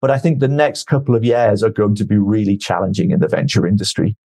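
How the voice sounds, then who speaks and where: Measured man explaining investing. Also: fairly low pitch, steady tone, dark tone. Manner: measured, explanatory, repeats words when starting sentences. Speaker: venture investor guest. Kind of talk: podcast interview answers.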